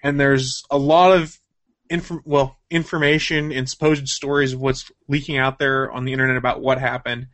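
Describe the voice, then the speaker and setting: A man's voice: mid-range voice, flat delivery, normal speed, fairly bright tone. A man hosting a casual news chat show, talking in a jokey, informal way. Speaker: show host.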